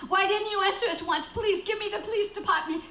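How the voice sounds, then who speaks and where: A woman playing a panicky, imperious bedridden wife in a radio play, with shrill, melodramatic, stammering indignation. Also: fast talker, pushed and bright, reverberant, a little hiss or hum, full character voice. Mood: desperate, pleading. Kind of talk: radio theater acting.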